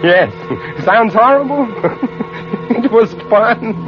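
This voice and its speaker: Spooked lighthouse keeper narrating old radio horror, intense, muffled sound, big music behind. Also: male voice, sits high, animated, takes it slowly. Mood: grim, intense. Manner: dramatic, intense, suspenseful. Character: haunted male lighthouse keeper recounting his horror. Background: dramatic music.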